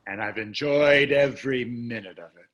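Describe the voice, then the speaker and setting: Man playing dry, needling wealthy husband, bright voice. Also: mid-range voice, moderate pace, muffled recording, natural voice. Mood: dryly teasing. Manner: dry, needling, condescending endearments. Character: wealthy older husband, dryly teasing and complacent. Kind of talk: staged play reading.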